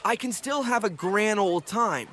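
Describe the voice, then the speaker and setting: A woman's voice: mid-range voice, expressive, measured pace, fairly bright tone, slight background noise. A woman voicing an anxious, eager young cartoon bean in an exaggerated, hesitant children's read-aloud style. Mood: wistful, hopeful.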